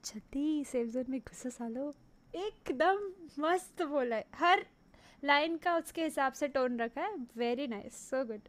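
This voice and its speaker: A young woman with an Indian accent chats casually in a very high voice. She takes her time, sounding amused as she echoes phrases back like a live game streamer. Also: expressive, slow pace. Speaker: gaming streamer reacting. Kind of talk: casual live reaction, mixing Hindi with some English. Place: indoor room at a streaming setup.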